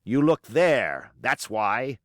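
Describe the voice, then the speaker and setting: A man's voice: mid-range voice, big pitch swings, slow pace, no echo, clean studio sound. A man voicing a cunning, scornful pirate ringleader in an audiobook, taunting and emphatic with rhetorical questions. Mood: taunting, triumphant. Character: Long John Silver, scornful cunning male pirate ringleader.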